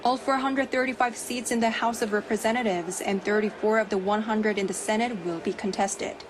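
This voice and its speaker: A woman's voice: medium pitch, fairly even intonation, fairly quick. A woman reporter delivering a scripted news report. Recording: noisy ambience behind the voice.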